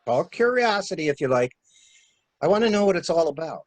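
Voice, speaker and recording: A man's voice: a little high, sing-song, fairly quick, darkish tone. A man playing a talkative, flustered stranded engineer with a polite, stammering, theatrical manner in a murder mystery reading. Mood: probing. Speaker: actor in an amateur library reading.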